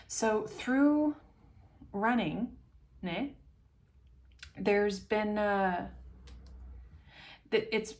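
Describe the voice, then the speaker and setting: Slow, rambling woman giving a reading. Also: mid-range voice. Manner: meandering, chatty, muses aloud. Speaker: tarot reader. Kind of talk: intuitive reading to camera.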